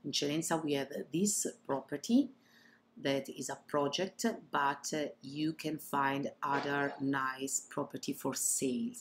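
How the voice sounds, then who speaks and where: A woman from Italy with an Italian accent narrates a property tour as an estate agent, in halting non-native English phrasing. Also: extremely low pitch, steady tone, slight background noise.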